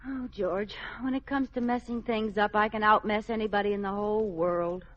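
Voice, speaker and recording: An actress playing a well-meaning, scheming housewife in a 1940s radio comedy, coaxing and theatrical. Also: female voice, medium pitch, steady tone, normal speed, natural voice. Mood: exasperated. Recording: noisy, dull old radio recording.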